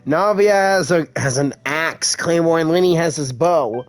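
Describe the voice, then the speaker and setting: A woman hosts casual gameplay commentary in a slightly low voice. She speaks slowly and playfully, with soft game music in the background. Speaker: gaming video host. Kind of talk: casual gameplay commentary, reading lines aloud.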